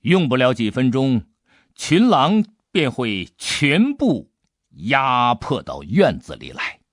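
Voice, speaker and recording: 语速缓慢、起伏很大的男性有声书讲述者. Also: male voice, medium pitch, takes it slowly, dry recording, studio quality. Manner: suspenseful, expressive delivery. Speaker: audiobook narrator. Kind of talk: dramatic scripted storytelling.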